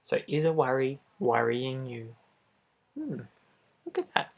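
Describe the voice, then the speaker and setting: A man reads a picture book aloud to young children in a gentle, expressive way, adding little asides straight to the listener. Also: mid-range voice, unhurried, creaky, dull audio. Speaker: storybook reader for children.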